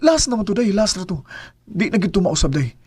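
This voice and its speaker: A man's voice: high voice, very animated, fairly quick, a little mellow, breathy edge, no echo, clean studio sound, heavily acted. A man with a Cebuano accent voicing a struggling husband and father in a radio drama, earnest and keen to please his wife. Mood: pleading. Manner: earnest, says "day" often. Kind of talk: amateur radio drama acting.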